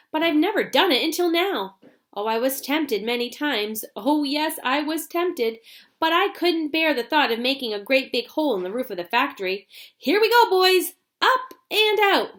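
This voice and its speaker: A woman voicing an eccentric, cheerful male chocolate maker who talks in excited exclamations. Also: high-pitched, normal speed, voice pushed up. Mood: gleeful. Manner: gleeful, exclamatory. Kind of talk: storybook read-aloud.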